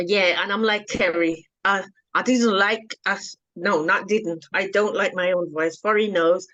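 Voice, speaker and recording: A woman's voice: fairly low pitch, no echo, muffled recording. A shy woman in a group discussion, stammering and laughing nervously as she talks about herself. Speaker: group participant.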